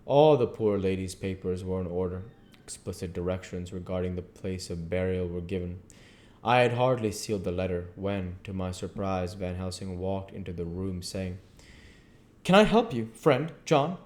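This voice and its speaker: A man reading a novel aloud at a measured pace, with occasional slips and restarts. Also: sits low, steady tone, warm tone. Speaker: audiobook reader.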